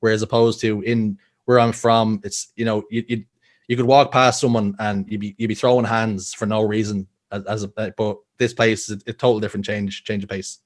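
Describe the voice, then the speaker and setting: A man's voice: lowish voice, flat delivery, brisk. A young Irish man with a Dublin accent, a guest telling personal stories earnestly with frequent restarts. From Ireland. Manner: earnest storytelling, many restarts. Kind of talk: casual podcast interview.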